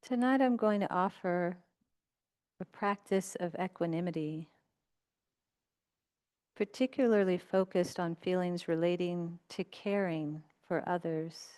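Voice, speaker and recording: A woman leading a guided meditation as a teacher, speaking in gentle, unhurried instructions with long pauses. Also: fairly low pitch, smooth.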